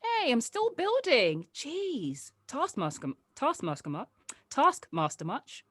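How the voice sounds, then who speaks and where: A woman plays a grown-up chatting with a young child in an audio drama read. The delivery is casual and teasing, with guarded edges. The pitch moves around freely and the sound is close and dry. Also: huge pitch swings, takes it slowly, fairly bright tone, dry recording, exaggerated voice. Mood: playful, teasing. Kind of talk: acted script table read.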